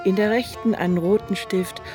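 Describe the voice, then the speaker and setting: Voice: mid-range voice, steady tone, normal speed, lightly acted. A performer narrating a literary radio play in a composed, precise and descriptive way, as an observant storyteller. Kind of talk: scripted radio drama narration. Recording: music behind the voice.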